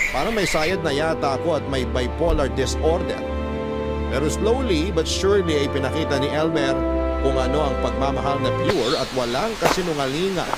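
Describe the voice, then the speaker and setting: A man's voice: on the high side, lightly acted. A Filipino man voicing a heartbroken young woman telling her love story in a confiding, emotive radio drama narration, drawing out the ends of phrases. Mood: wistful. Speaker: story narrator reading a letter sender's account. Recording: loud music under the voice. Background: loud background music.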